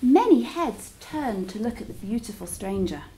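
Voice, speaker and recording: A woman's voice: on the high side, very animated, moderate pace, warm tone, slight background noise. Woman reading a storybook aloud, dramatic delivery. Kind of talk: expressive storybook narration.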